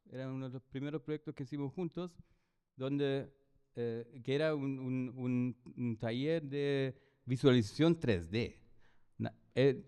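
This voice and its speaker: A man's voice: mid-range voice, dark tone, smooth, big-room sound. A man in his fifties from Germany, speaking with a German accent, giving a hesitant lecture full of fillers and restarts. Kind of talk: lecture presentation. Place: lecture hall.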